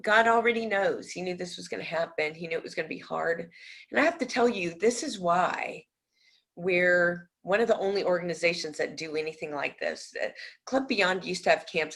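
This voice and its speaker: A woman's voice: sits low, fairly bright tone. Chatty, earnest woman with a Tennessee twang. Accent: Tennessee-tinged American Southern. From Nebraska. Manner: earnest, chatty, asks for prayer, self-teasing. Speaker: ministry director. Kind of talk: casual update video.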